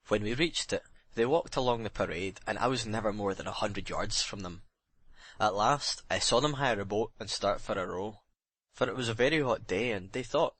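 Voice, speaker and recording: A man's voice: fairly low pitch, fairly bright tone, husky, no echo. A man playing a jealous, haunted sailor giving a bitter, intense murder confession in a dramatic audiobook reading. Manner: intense dramatic confession, plain working-man's phrasing.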